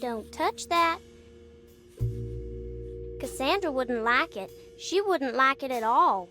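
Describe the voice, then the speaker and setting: A woman's voice: high voice, expressive, takes it slowly, over music. A female performer playing an eerie, solemn young girl in a horror game, guarded and knowing in a childlike way. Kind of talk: cinematic game dialogue acting.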